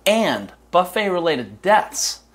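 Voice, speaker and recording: Deadpan comedy news anchor man. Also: slow. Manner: deadpan newsreader delivery, lively pitch. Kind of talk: mock news broadcast. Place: small studio set.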